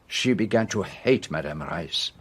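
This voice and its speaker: An actor playing a self-assured foreign detective, speaking deliberately and formally as he explains a case in a radio drama. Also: deep voice, expressive, a bit bright, breathy edge, heavily acted. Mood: grave, probing. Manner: deliberate, theatrical, formal phrasing with French forms of address.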